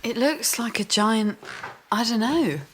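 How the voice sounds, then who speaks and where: An actress playing a guarded, wounded, sharp-tongued woman scientist in a radio drama, speaking in a dry, pointed way. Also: on the high side, takes it slowly, a little hiss or hum. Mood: sceptical. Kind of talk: naturalistic radio drama acting.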